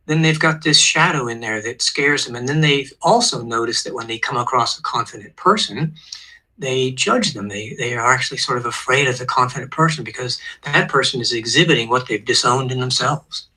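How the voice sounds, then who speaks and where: A man in his sixties, a therapist, calmly explaining psychological ideas as a guest on an online show. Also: mid-range voice, slightly rough, poor audio.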